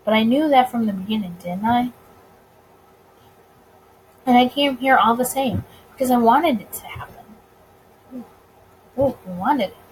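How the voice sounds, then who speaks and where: High-voiced woman reading a story, noisy recording. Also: a little slow, long pauses, darkish tone. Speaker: visual novel let's player. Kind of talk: expressive story reading with reactions.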